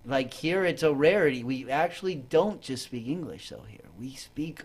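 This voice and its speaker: A man's voice: on the high side, animated, moderate pace. A man hosting a radio talk show, a poet, chatting in a jokey, rambling way with many false starts. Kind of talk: casual radio interview.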